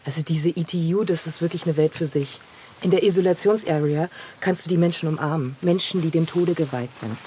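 Eine Schauspielerin spielt in einem Hörspiel eine kühle, schroffe Journalistin, die distanziert und versonnen von ihren Beobachtungen spricht. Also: female voice, deep voice, brisk, dark tone, slight background noise, close to an everyday voice. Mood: absorbed, musing. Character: cool, brusque woman journalist absorbed in her own observations. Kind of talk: naturalistic radio drama dialogue.

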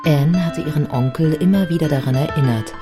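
An actress playing a composed, factual biographer, narrating a radio play in a measured, matter-of-fact way. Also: female voice, deep voice, lightly acted. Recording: studio radio play with music behind.

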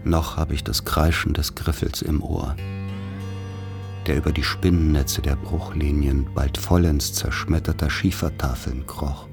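A man as the reflective narrator of a radio play, recalling rural schooldays in measured, articulate literary prose. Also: rumbling low, creaky, exaggerated voice.

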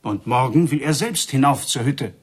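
A man's voice: mid-range voice, lively intonation, normal speed, breathy edge. An actor playing a village man who earnestly relays news as a storyteller in a radio play. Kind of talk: German radio play acting.

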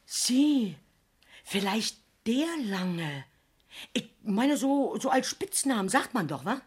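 Husky, chatty Berlin witness woman. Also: a little high, sing-song, slow pace, full character voice. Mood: eager. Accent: Berlin German. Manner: colloquial, blunt, folksy. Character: plain-spoken, chatty Berlin woman, a former housekeeper turned witness. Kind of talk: 1970s German radio crime drama acting.

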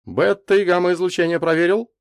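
Casting: fussy elderly male scientist for storybook narration, acted by a male reader, hurried, questioning, raised pitch, close dry sound. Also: no echo. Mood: impatient. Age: older. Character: thick-moustached stocky old professor, brisk, fussy meteorite expert.

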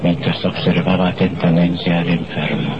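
A man narrating a scripted radio mystery in a measured, formal storytelling manner. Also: deep voice, flat delivery, a crowd in the background. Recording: noisy, muffled old radio drama recording.

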